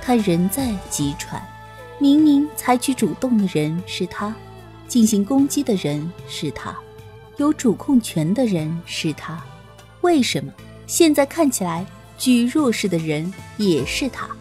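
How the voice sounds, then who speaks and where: A woman narrating a romance audiobook in a soft, lilting storytelling voice. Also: mid-range voice, sing-song, measured pace, faint music behind.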